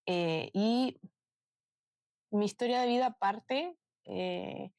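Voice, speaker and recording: A woman in her late twenties from Chile, with a Chilean accent, talking earnestly and slowly to her viewers about her life, with many pauses and fillers. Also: mid-range voice, creaky, a little mellow, dry recording, studio quality. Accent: Chilean Spanish. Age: 20s. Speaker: vlogger. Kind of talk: reflective personal talk to viewers.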